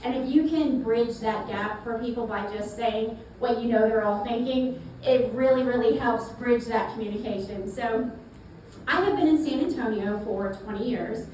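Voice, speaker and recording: A woman's voice: a little high, normal speed, a little mellow. Chatty South Carolina woman in an echoey room. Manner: folksy, chatty, repeats words. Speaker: guest speaker. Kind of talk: networking talk to a live audience. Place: event space. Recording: echoey room from far off, noisy.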